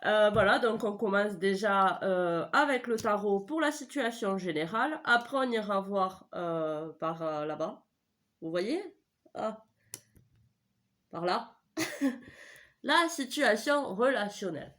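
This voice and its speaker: A woman's voice: mid-range voice, expressive, slow pace, fairly bright tone. Chatty woman with a head cold. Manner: chatty and rambling, full of hesitations and self-corrections. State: stuffy head cold, voice not in form. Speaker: tarot reader. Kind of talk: casual tarot reading video. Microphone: desk microphone.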